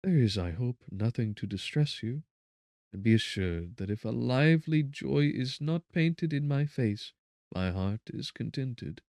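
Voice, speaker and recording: A tender young bride from a gothic audiobook, acted out by a man, her voice lifted well up in pitch. She speaks softly and earnestly in old-fashioned phrases, gently reassuring, with a close, dry sound. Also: expressive, unhurried, dry recording. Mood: tender, hopeful. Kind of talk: dramatic audiobook character voice.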